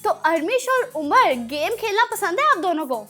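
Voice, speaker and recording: A woman's voice: very high voice, big pitch swings, moderate pace, pushed and bright, soft background music. A woman with a Pakistani accent presenting a children's show, playful and encouraging as she talks to kids.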